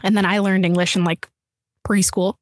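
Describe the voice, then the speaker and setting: A woman's voice: medium pitch, moderate pace, no echo. Young woman, chatty storyteller. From United States. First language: Urdu. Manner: animated storytelling, peppered with "like". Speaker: guest. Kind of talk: casual chat.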